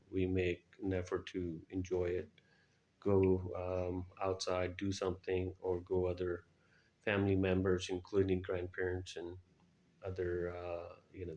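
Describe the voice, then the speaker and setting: Man, middle-aged, deep voice, little pitch movement, halting delivery, interview answers. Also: a little mellow, highs cut off. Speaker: guest, air traffic controller. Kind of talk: interview answers, recounting experiences.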